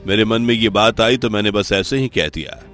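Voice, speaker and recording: A man voicing a worried, gentle king in a cartoon story, speaking calmly and courteously. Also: lowish voice, normal speed, soft background music, natural voice. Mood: concerned. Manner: calm, courteous.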